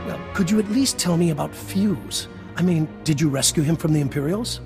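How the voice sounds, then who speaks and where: A friendly middle-aged mayor with a fairly high, gravelly male voice. He is earnest and effusive, a bit hesitant, in a game scene with soft music underneath. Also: fairly quick. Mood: chatty, earnest. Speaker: town mayor. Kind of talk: video game voice acting.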